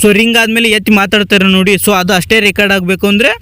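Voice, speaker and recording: A man's voice: sits high, steady tone, racing, pushed and bright. A man narrating a casual step-by-step phone tutorial as a host, in repetitive instructional phrasing. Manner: repetitive instructional phrasing, often starting sentences with "so".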